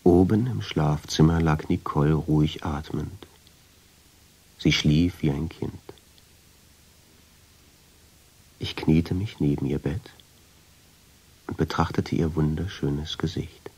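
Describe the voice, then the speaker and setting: Radio drama narrator: brooding, lovestruck man, low and gravelly, very breathy, intense and deliberate delivery. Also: fairly even intonation, a little mellow, exaggerated voice, rough recording. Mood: hushed, tender. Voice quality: husky, gravelly, often sinking into whisper. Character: brooding, lovestruck male first-person narrator.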